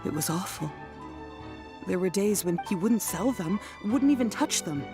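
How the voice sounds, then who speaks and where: An actress playing a distressed, apologetic wife in a video game, speaking in a troubled way. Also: female voice, medium pitch, animated, normal speed, close to an everyday voice. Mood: weary. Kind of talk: video game character dialogue. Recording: game music behind voice.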